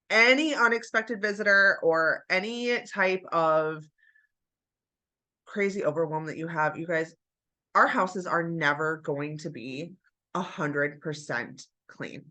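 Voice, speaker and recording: Bright-voiced woman with a stuffy nose. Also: fairly low pitch. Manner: chatty, encouraging, addresses viewers as you guys. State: stuffy nose after flu. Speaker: homemaking vlogger. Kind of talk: casual chat to camera.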